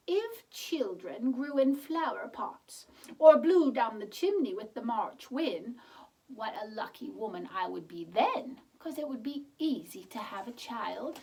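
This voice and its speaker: A woman's voice: fairly high pitch, gravelly, husky, far from the mic, poor audio. A woman reading a picture book aloud to children, expressively, with chatty explanatory asides. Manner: expressive, explains words and asks the listener questions. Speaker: children's storybook reader.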